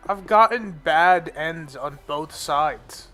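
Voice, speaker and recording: A man's voice: fairly high pitch, extremely slow, bright, forceful tone. A young man who grew up in India, chatting casually and bluntly in informal slang. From born in America, grew up in India. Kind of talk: casual chat. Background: music.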